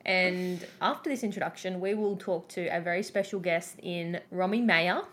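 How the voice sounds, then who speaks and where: A woman co-hosting a podcast, chatty and teasing, talking in quick bursts. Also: fairly low pitch, fairly even intonation, a bit bright. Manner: bubbly and teasing, fast bursts. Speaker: podcast co-host. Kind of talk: casual chat.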